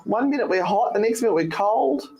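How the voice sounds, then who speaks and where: An older Australian woman co-hosting a radio show, hoarse from a cold, chatty and joking in studio banter. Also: mid-range voice, lively intonation, fairly quick, darkish tone. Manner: chatty, joking, breaks into laughs. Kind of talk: casual banter on air.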